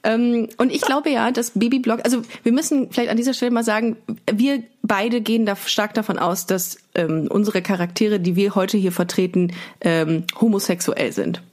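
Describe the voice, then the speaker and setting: A woman's voice: mid-range voice, normal speed, few pauses, darkish tone. A woman with a German accent, talking casually as the host of a podcast.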